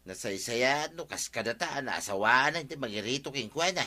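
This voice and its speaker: A man's voice: mid-range voice, animated, moderate pace, sharp tone. A man acting in a Filipino radio comedy as a chummy, teasing, meddling village friend with an Ilocano accent. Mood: concerned, gossipy.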